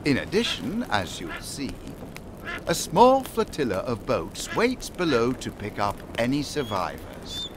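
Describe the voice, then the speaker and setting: Urbane island lord, played by a man, radio-play villain, unhurried and highly animated, politely taunting. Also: noisy background. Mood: mocking, measured. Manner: grandiose, polite, drawn-out taunting delivery. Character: urbane menacing island lord, calmly mocking cult leader. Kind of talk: audio drama acting.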